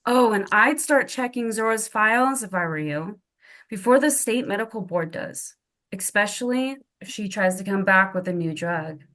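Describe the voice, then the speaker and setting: A woman's voice: medium pitch, lively intonation, moderate pace, a bit bright, close to an everyday voice. A woman playing a cold, confrontational official in a drama table read, with formal, pointed delivery. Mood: stern.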